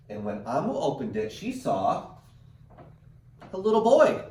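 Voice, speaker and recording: A man's voice: medium pitch, dark tone. A man teaching through a virtual read-aloud, chatting encouragingly and expressively with children and parents as he presents a picture book. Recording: roomy, distant mic with faint noise.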